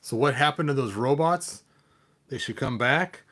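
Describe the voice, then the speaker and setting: A man's voice: medium pitch, moderate pace, fairly bright tone. Casual, rambling man hosting a vlog. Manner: digressive, talking to himself while handling things. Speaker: toy collector, video host.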